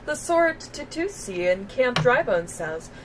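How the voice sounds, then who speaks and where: Lady doing a prim game reporter, highish voice, formal and measured, bit of music under it. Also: very animated, distant mic, background noise. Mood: composed, self-assured. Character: prim, articulate investigating reporter in fantasy game.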